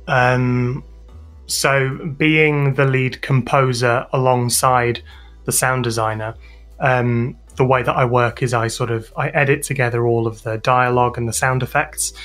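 A man with a British accent giving explanatory answers as an interview guest, pausing and repeating words as he goes. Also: medium pitch, a bit bright. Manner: hesitant, halting phrasing with repeated words. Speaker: interview guest, audio drama sound designer and composer.

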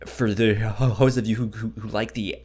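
A man co-hosting a podcast in casual chat, halting and repeating words while searching for phrasing. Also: on the low side, steady tone, darkish tone. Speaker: podcast co-host. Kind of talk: casual chat reviewing manga.

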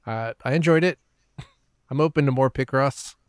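A man's voice: mid-range voice, normal speed, smooth, dry recording, clean studio sound. A man chatting casually as a podcast host. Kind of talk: casual chat.

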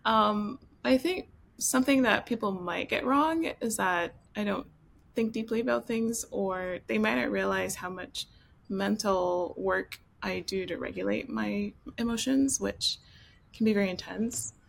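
A woman from Ontario talking in a casual group chat as a roundtable panelist, thoughtful and soft-spoken, with easy giggles. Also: medium pitch, a little slow, gravelly. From Ontario, Canada.

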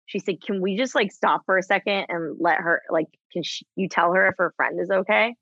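A woman in her thirties talking candidly as a guest, halting with many restarts and frequent laughs. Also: fairly low pitch, a bit bright, no echo, lo-fi sound. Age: 30s. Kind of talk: candid conversation.

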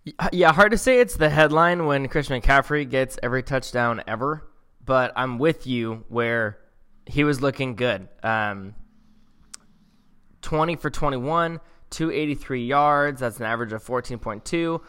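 A man's voice: mid-range voice, unhurried, a bit bright, clear, resonant tone. A man co-hosting a football podcast, talking in an enthusiastic, rambling way with frequent restarts. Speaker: podcast co-host. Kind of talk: casual sports chat.

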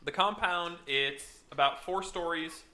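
A man's voice: on the high side, unhurried, bright, forceful tone. A man acting as game master, laughing easily while he sets out the scene for his players. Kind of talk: running a tabletop game.

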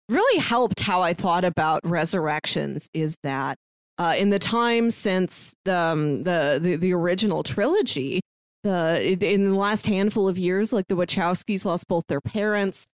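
A woman's voice: fairly low pitch, expressive, measured pace, clear, resonant tone, no echo. Earnest woman talking movies, dry sound. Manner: thoughtful, sincere, with frequent fillers and restarts. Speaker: podcast co-host. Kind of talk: casual film discussion.

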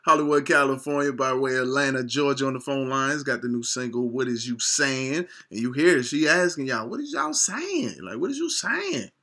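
A male host running a loose, slang-heavy interview, hyping his guest and repeating phrases. His voice sits at a middle pitch with a forceful, bright edge. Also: animated, moderate pace, hardly any pauses. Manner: slangy, hype, repeats himself. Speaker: show host. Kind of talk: casual interview hosting.

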